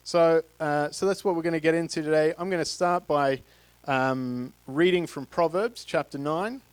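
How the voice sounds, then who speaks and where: Halting Australian man preaching. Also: fairly high pitch, clean tone, no echo. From Queensland, Australia. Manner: halting, many fillers and restarts. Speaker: guest speaker from a charity. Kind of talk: church talk to a congregation. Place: church stage with a live congregation. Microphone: handheld mic.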